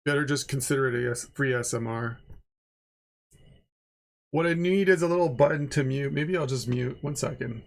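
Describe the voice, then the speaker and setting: A man's voice: mid-range voice, moderate pace, gravelly, pauses often. A man with a stuffed-up, nasal voice chatting casually and rambling while he draws on a livestream, swearing now and then. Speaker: art streamer.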